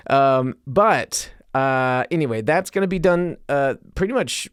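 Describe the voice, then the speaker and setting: A man's voice: mid-range voice, lively intonation, unhurried, smooth, dry recording. Casual, rambling male podcaster, close dry sound. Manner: rambling, conversational, self-deprecating. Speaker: podcast host and stand-up comedian. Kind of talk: casual solo podcast monologue.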